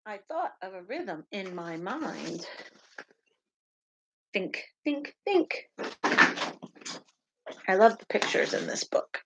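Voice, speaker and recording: A middle-aged woman teacher reading a picture book aloud to children, with deliberate sing-song emphasis on repeated words. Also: medium pitch, big pitch swings, noisy background. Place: home kitchen, indoors.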